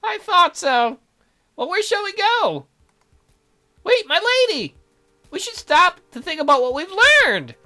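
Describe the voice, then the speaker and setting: A woman's voice: very high voice, very animated, measured pace, long pauses, bright, forceful tone, pitched above the performer's own voice, exaggerated voice. A woman voicing a fussy, devoted magical attendant in a visual novel, prim and snippy but deferential to a lady. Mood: bickering.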